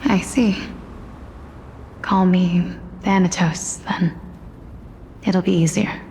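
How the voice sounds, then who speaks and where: A serene, melancholy female spirit from a fantasy video game. Her delivery is very slow, hushed and level, with a creaky edge. The voice trails into a long reverb. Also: lowish voice, slight background noise. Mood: wistful. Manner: calm, hushed, unhurried. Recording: long echoing reverb, faint ambience.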